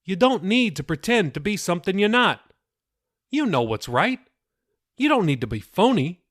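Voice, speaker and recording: A man's voice: high voice, very animated, moderate pace, fairly bright tone, voice pushed up. A man voicing a middle-aged pastor father who scolds and pleads with his daughter in a raised, earnest voice. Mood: pleading.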